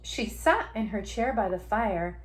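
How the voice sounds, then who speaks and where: Animated, unhurried woman reading to children. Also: mid-range voice, slight background noise. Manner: expressive, drawn-out words, dropping into hushed whispers. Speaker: children's story reader, teacher. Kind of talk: animated read-aloud for young children, with questions to the listener.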